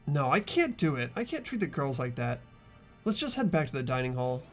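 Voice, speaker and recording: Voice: mid-range voice, big pitch swings, fairly quick, faint music behind. A performer voicing an earnest, hesitant ordinary teenage boy who narrates and speaks in a fan-dubbed visual novel.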